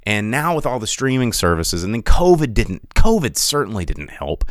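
A man hosting a casual solo podcast, rambling with many restarts and animated asides while he reads chat. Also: medium pitch, moderate pace, no room sound, clean studio sound. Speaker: podcast host.

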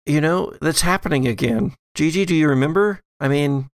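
A man's voice: a little high, normal speed, no echo, close to an everyday voice. Man playing a hesitant, forgetful woman. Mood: uncertain, confused. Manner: hesitant, questioning. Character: uncertain, forgetful woman with keys.

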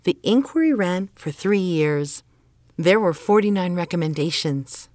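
Low-voiced stern mother. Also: expressive, a little slow, a little mellow, dry recording, clean studio sound. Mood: evasive, casual. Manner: insistent, guilt-laden pleading and scolding. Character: stern, tradition-bound mother, middle-aged, exasperated with her child. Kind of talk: naturalistic audio drama acting.

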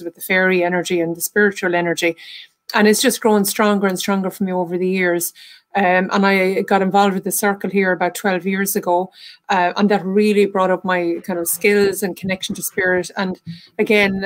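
Irish woman, panelist on a video call, earnest, level even tone. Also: lowish voice. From Ireland. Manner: earnest, many fillers like "you know". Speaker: panelist, medium and energy therapist. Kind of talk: online panel discussion.

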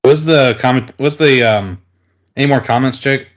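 A man's voice: medium pitch, moderate pace, lo-fi sound. A man co-hosting a casual watch-along, rambling with many "likes", swearing and laughing as he reacts. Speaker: podcast co-host.

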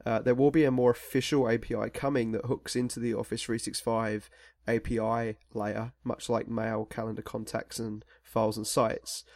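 A man's voice: fairly low pitch, flat delivery, normal speed, a little mellow, no room sound, studio quality. A man hosting a tech podcast, talking in a rambling, casual way with many fillers and restarts. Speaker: podcast host.

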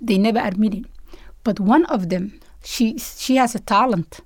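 A woman with a Somali accent and a fairly high voice talks as an interview guest. She speaks earnestly, in short non-native English phrases, and the recording is dry and close. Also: moderate pace, dry recording. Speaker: interview guest, basketball coach and organiser. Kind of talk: conversational interview storytelling.

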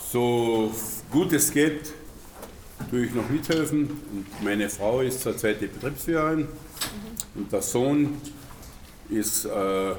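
A man in his sixties with an Alpine Bavarian-Austrian accent, answering interview questions as a retired farmer. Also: medium pitch. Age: 60s. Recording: roomy, very noisy field interview.

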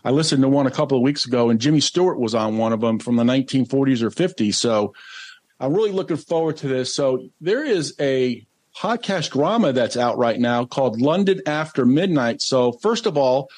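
A male podcast host talks in a medium-pitched voice. He introduces and interviews in a casual, conversational way. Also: animated, a little fast, a little mellow.

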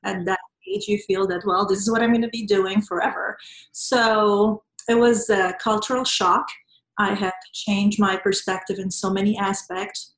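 A woman with a Venezuelan Spanish accent tells her story as an interview guest. Her voice sits at a middling pitch and she speaks in an earnest, reflective way. The sound is roomy, with noticeable echo. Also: steady tone, normal speed. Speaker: interview guest, nonprofit professional. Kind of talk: conversational interview, personal storytelling.

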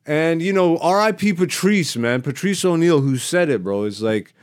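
A man's voice: on the high side, expressive, moderate pace, a little mellow, smooth. A man who works as a stand-up comedian and podcast host, telling stories in casual, slangy, profane and emphatic talk. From born in Greece, Ethiopian by blood. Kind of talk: casual, animated podcast chat.

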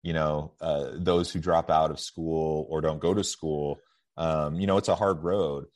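A man with a deep, low-pitched voice hosts a podcast. He speaks conversationally and agreeably, with halting phrasing as he responds to his guest. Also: steady tone, a little mellow. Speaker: podcast host, university professor.

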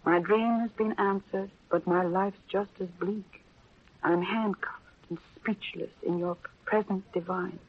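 A husky young woman reporter in an old radio drama. She speaks earnestly and emotionally, full of remorse. The broadcast sound is dull and lo-fi. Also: mid-range voice. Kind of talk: 1930s radio acting. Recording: muffled, lo-fi 1930s radio broadcast.

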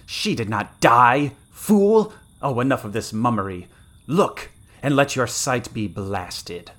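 A man's voice: medium pitch, a little slow, fairly bright tone. A man voicing a cruel, mocking young witch, an evil twin, with sneering, theatrical and grandiose delivery in a dramatic fantasy story. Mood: furious.

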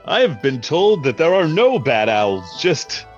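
A man playing a breezy, teasing wizard official in an improvised comedy sketch, with a husky voice and a drawn-out delivery. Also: on the high side, very animated, lo-fi sound, faint music behind. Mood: mocking, amused.

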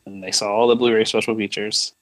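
A man's voice: fairly low pitch, flat delivery, fast, fairly bright tone, dry recording, rough recording. A man co-hosting a podcast in casual chat, playfully riffing with short quips. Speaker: podcast co-host.

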